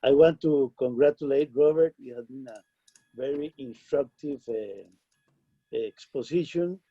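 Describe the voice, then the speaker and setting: A man from Costa Rica, speaking in a formal, measured way on a video call. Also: mid-range voice, slightly rough, no echo. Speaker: call participant. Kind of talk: casual video call chat. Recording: dull lo-fi video call audio.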